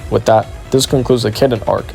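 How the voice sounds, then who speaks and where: Fast-talking male narrator, gravelly fry. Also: mid-range voice, steady tone, darkish tone, faint music behind. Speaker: video essay narrator. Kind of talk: scripted exposé narration.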